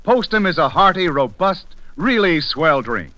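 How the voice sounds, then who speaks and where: A bright, forceful American man delivers scripted 1940s radio ad copy. His slightly high voice moves with lively, animated inflection. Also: a little slow, dry recording. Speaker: radio advertising announcer.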